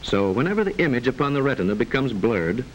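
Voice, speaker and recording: A man narrating a mid-century educational film in a formal, measured, didactic way with precise enunciation, on an old film soundtrack. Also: medium pitch, big pitch swings, slight background noise, rough recording.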